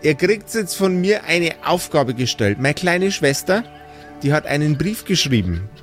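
Ein Mann spielt eine ältere, schimpfende Zwergenmutter mit bairischem Dialekt, derb und sarkastisch, in einem improvisierten Rollenspiel-Hörspiel. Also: male voice, fairly high pitch, sing-song, measured pace, soft background music. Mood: bossy. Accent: Bavarian. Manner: nagging, earthy, sarcastic. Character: gruff, scolding old dwarf mother.

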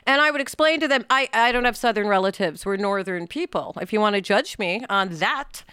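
A woman from New York with an American accent, talking in a casual panel conversation in an animated, emphatic way and acting out imagined quotes. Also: medium pitch, brisk, smooth, no room sound, studio quality. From New York, USA. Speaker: podcast co-host.

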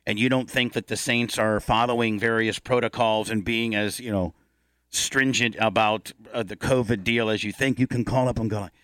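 A man's voice: fairly low pitch, steady tone, moderate pace, a bit bright, no room sound. A man hosting a casual sports talk show, bantering in a blunt, joking and exaggerating manner. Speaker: radio show host.

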